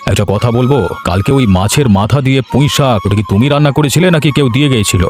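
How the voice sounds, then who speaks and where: A man's voice: mid-range voice, racing, darkish tone, soft background music, lightly acted. A man voicing an earnest, emphatic village uncle in a cartoon, protective and grateful. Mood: grateful, pleased. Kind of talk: Bengali cartoon dialogue.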